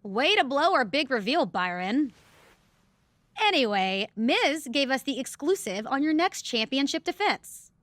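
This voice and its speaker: A woman's voice: high voice, big pitch swings, a little slow, fairly bright tone, no room sound, heavily acted. A woman playing a teasing sports show co-host, presenting an interview in a game cutscene.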